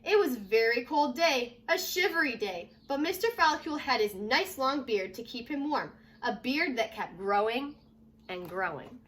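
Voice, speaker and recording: A woman's voice: on the high side, normal speed, slight background noise. A woman reading a children's storybook aloud as a teacher would, expressively, leaning on key words. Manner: expressive, stresses repeated words, asks listeners questions.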